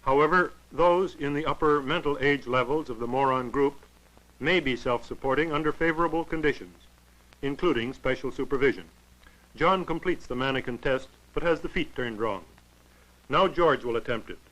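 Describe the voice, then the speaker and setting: Old 40s school film narrator guy, crisp bright voice, stiff and clinical. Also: mid-range voice, poor audio. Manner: formal, clinical, measured delivery. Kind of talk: 1940s educational film narration.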